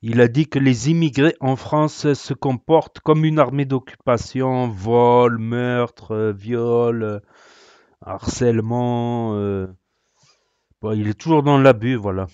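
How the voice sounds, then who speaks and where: A man's voice: medium pitch, steady tone, slow, darkish tone, clean tone, no echo, highs cut off. A man hosting a reaction video, sarcastic and rambling, with many hesitations. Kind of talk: casual reaction commentary.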